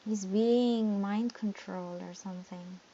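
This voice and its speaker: A woman's voice: medium pitch, fairly even intonation, unhurried, warm tone. A woman giving casual playthrough commentary as a game streamer, in brief, offhand reactions. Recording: dull lo-fi microphone, faint noise.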